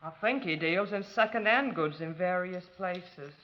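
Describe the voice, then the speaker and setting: A woman acting a stiff, downtrodden housemaid wife in a radio drama, quiet and reserved. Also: lowish voice, a little slow, natural voice. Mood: guarded, subdued. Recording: muffled old radio recording.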